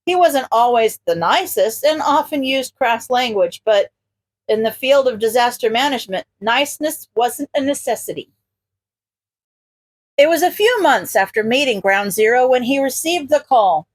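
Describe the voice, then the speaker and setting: A woman's voice: on the high side, lively intonation, normal speed. A woman reading a scripted story aloud as a narrator. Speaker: podfic reader.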